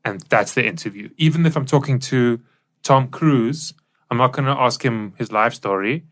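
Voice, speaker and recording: A man with a mid-pitched voice talks as a podcast co-host. He is conversational and opinionated, explaining his thinking in a casual discussion style. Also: normal speed, a bit bright.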